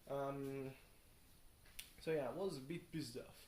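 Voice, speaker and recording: Slow, tired young man rambling in echoey room. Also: medium pitch, a little mellow. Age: young adult. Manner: halting, thinks aloud, self-questioning, chuckles at himself. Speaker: video blogger. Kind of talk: casual rambling video diary. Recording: roomy echo, faint background noise.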